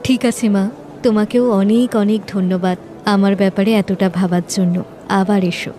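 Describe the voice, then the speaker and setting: A woman's voice: mid-range voice, fairly even intonation, moderate pace, mellow, slight background noise, voice pushed down, close to an everyday voice. A woman voicing a humble, hardworking street-seller mother in a moral-story cartoon, polite and plain-spoken. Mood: humble, grateful.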